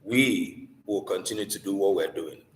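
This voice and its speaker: A man's voice: deep voice, moderate pace. Echoey Nigerian Igbo man teaching. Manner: earnest, exhorting, with rhetorical questions. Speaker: Igbo language teacher hosting a live online class. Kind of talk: informal live teaching, switching between English and Igbo. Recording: echoey room with long reverb.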